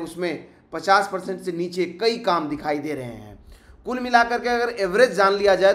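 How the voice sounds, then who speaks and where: A man's voice: high-pitched, no room sound. A man narrating an on-site video report in a conversational way, repeating his points and restarting phrases.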